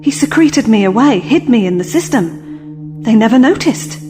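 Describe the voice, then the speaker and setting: A woman's voice: on the high side, animated, normal speed, close to an everyday voice. An actress playing a plucky, strong-willed young woman in a sci-fi audio drama, speaking frankly in short replies. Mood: awed, subdued. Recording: music behind the dialogue.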